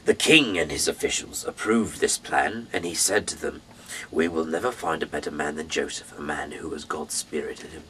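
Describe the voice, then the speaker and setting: A man from the UK reading scripture aloud in a dramatic, halting way, swinging between emphatic bursts and breathy, hushed delivery. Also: extremely low pitch, a little hiss or hum, rough recording. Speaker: Bible reader, amateur narrator.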